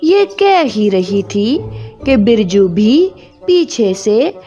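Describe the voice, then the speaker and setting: A woman's voice: medium pitch, big pitch swings, extremely slow, mellow, lo-fi sound. A woman narrating a scripted children's cartoon story as a storyteller. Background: music.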